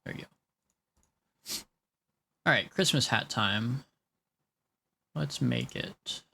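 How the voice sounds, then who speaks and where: A young man in his late twenties streams live and chats loosely with his viewers while he works on a drawing. His mid-range voice has a gravelly, creaky edge. He rambles, loses his train of thought and laughs easily. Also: medium pitch, animated, slow pace, sharp tone. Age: 20s. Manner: rambling, chatty, laughs often, talks to chat.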